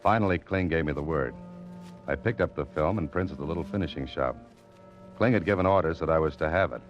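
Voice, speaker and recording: Hard-boiled private eye, very deep voice, terse, 1940s radio drama. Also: male voice, fast talker, highs cut off, music in the background. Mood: tense. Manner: terse, wry, clipped narration.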